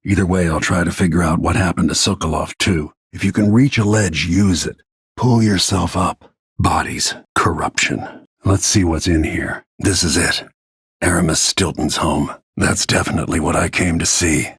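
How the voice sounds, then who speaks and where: A weary middle-aged assassin in a game, deep gravelly husky voice, brooding and short with words. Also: male voice, steady tone, moderate pace, a bit bright, dry recording, studio quality, exaggerated voice. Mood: grim, measured. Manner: terse, brooding, restrained. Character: grim, world-weary middle-aged male assassin and royal protector. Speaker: video game protagonist. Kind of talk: scripted video game voice lines.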